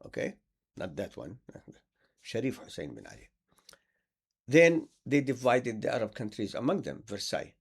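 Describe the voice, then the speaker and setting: Male preacher, gravelly and animated, sarcastic and repetitive. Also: medium pitch, normal speed, long pauses. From Palestine. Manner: sarcastic, repeats words for emphasis. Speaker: Islamic preacher. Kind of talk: impassioned monologue.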